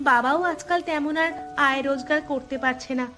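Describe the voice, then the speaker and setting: A woman's voice: high-pitched, steady tone, brisk, pushed and bright. A woman voicing a poor, outspoken young wife in a cartoon story, speaking insistently. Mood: exasperated.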